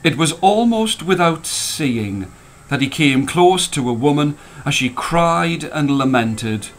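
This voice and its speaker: A man reading a story as a storyteller, expressive and dramatic, drawing out the ends of phrases. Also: a little high, takes it slowly, slight background noise. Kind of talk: expressive scripted story narration.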